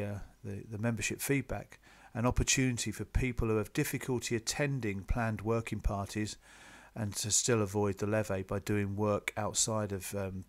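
A man presenting club business to members in a measured, businesslike, explanatory way, partly from a script. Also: lowish voice. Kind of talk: slide presentation, semi-scripted explanatory talk.

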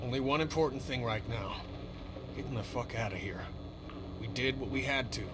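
A man's voice: medium pitch, normal speed, background noise, lightly acted. An actor playing a hot-headed, desperate family man in a zombie video game, blunt, profane and insistent. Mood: low, grim. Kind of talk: dramatic video game voice acting.

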